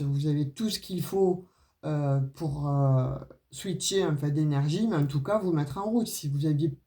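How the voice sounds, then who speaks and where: A woman's voice: sits low, warm tone. A woman with a regional French accent, not a Parisian one, giving a casual tarot and oracle card reading. She talks straight to her viewers and fills her speech with hesitations and little tag words. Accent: non-Parisian French regional accent. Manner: chatty, full of "euh" and "hein" fillers, addresses viewers directly.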